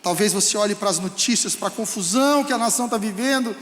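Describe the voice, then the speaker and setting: A male pastor with a Brazilian Portuguese accent preaching a fervent sermon that rises to shouts. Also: sits high, quick pace. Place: church hall. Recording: echoey hall with long reverb.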